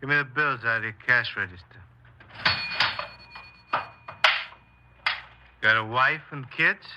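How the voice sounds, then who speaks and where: A man's voice: mid-range voice, brisk, muffled recording, natural voice. An actor playing a cold, boastful, menacing criminal confessing his robberies in a 1950s radio crime drama. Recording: noisy 1950s radio recording.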